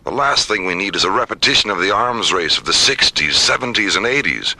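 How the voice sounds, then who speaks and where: An actor playing a principled science director, speaking firmly and articulately in a science fiction radio drama. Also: male voice, lowish voice, expressive, pushed and bright, no room sound, exaggerated voice, rough recording. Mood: firm, challenging. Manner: measured, firm, articulate. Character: principled, firm male science director opposing weapons.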